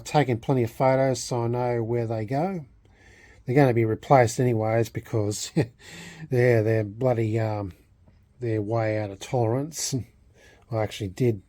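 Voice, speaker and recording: A man talking unscripted as a hobbyist vlogger, in a halting way, often repeating and rephrasing himself. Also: medium pitch, mellow, no room sound. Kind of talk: unscripted restoration vlog talk.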